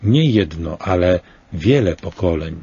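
A man in his sixties reading a scripted commentary in a slow, grave and deliberate way, with rhetorical questions. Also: low-pitched, lively intonation, dark tone, breathy edge, lo-fi sound.

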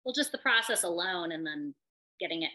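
Woman interviewer on a video call, slightly low and bright-toned, appreciative host. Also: lively intonation, brisk, poor audio. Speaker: gallery director and interviewer. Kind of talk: conversational interview.

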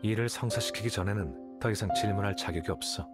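An actor playing an aloof, calculating young scholar in a video game, speaking in a detached, measured, condescending way. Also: male voice, on the low side, natural voice. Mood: curt, businesslike. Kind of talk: video game character dialogue. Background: game music.

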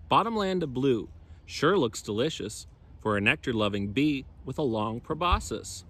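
A man in his thirties reading a children's picture book aloud, with a lively, sing-song delivery of its rhymes and plant names. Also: mid-range voice. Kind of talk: expressive children's read-aloud. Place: outdoors in a spring forest, and a voice-over on book pages.

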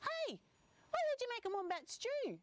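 A woman's voice: extremely high pitch, huge pitch swings, normal speed, a little hiss or hum, voice pushed up, heavily acted. A woman with an Australian accent voicing an urgent, helpful male frilled-neck lizard in a children's story.

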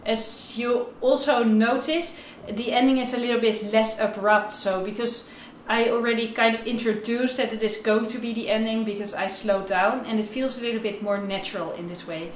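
A woman's voice: on the high side, fairly even intonation, normal speed, few pauses, slight background noise. A young woman giving an instructional violin tutorial to camera in an informal, explanatory way, with slightly non-native phrasing. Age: young adult. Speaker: violin teacher. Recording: roomy echo, violin playing at times.

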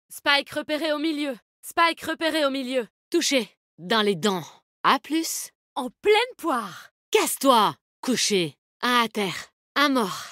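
An actress playing a tough, animal-loving female fighter in a video game, speaking in a brisk, confident, slangy way. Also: high-pitched, sharp tone, dry recording, studio quality. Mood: brisk, alert, then fierce, curt. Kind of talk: video game character voice lines.

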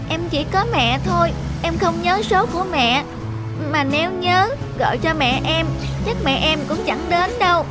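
A lonely little cartoon schoolgirl, polite and quick to apologise. The voice is extremely high, with loud music underneath. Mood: sad, resigned. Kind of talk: Vietnamese cartoon drama dubbing.

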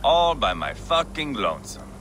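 An actor playing a crude, brash, battle-eager Viking warrior in a video game, profane and boisterous. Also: male voice, medium pitch, sing-song, moderate pace, noisy background. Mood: crude, wry. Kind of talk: video game cutscene acting.

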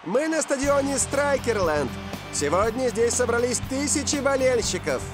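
An actor playing a showy, upbeat male football commentator in an animated cartoon. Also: very high voice, normal speed, over music. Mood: excited.

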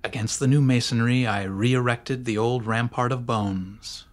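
A man narrating gothic horror as an audiobook reader, in a deliberate, theatrical, brooding manner. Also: medium pitch, steady tone.

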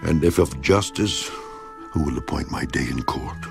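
Job, anguished and gravelly. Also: male voice, moderate pace. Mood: lamenting. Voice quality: deep gravelly voice. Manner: anguished, swinging from hushed to crying out. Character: suffering righteous man pleading with God. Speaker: voice actor. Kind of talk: dramatized scripture reading. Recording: with music underneath.

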